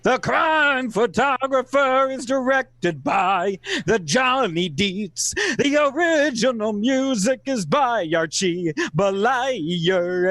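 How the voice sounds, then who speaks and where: Man as a very high-voiced old-time radio announcer. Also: fairly bright tone, no room sound, exaggerated voice. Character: booming formal 1940s radio network announcer.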